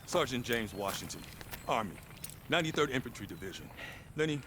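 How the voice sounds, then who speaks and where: An actor playing a calm, commanding army sergeant who gives orders to his men. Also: mid-range voice, brisk, background noise, natural voice. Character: steady male army sergeant, middle-aged.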